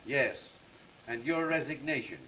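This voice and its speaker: Newspaper chief, male: mid-pitched, sardonic, clipped orders, old film. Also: expressive, measured pace, pushed and bright, a little hiss or hum. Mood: stern, commanding. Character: stern, sarcastic male newspaper editor, the boss. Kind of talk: 1930s film acting. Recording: muffled 1930s film soundtrack.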